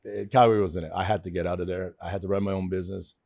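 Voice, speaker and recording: Lower-voiced Canadian man in his fifties. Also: flat delivery, very fast, vocal fry, darkish tone, dry recording, clean studio sound. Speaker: guest, insurance company founder. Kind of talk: casual interview chat.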